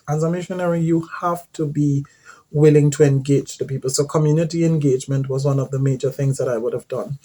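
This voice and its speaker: A man, giving an earnest personal testimony as a former volunteer missionary, pausing often with fillers and restarts. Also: medium pitch, dark tone. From Guyana.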